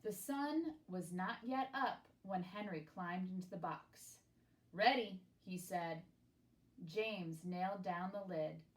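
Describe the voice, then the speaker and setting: A woman reading a children's picture book aloud expressively, with deliberate, dramatic phrasing and pauses. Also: on the low side, poor audio. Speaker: storybook reader.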